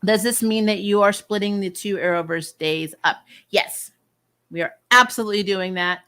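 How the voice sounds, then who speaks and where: A woman hosting a casual livestream review, chatting with viewers in an animated, emphatic way and repeating phrases for effect. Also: medium pitch, moderate pace, smooth. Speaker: host of a comic and TV review channel. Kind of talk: casual livestream chat, answering viewer questions.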